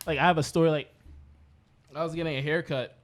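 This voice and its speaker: A man from Brooklyn co-hosting a podcast, telling stories in a casual, slangy, animated way. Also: on the high side, quick pace. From Brooklyn, New York. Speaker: podcast co-host. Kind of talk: casual chat, storytelling.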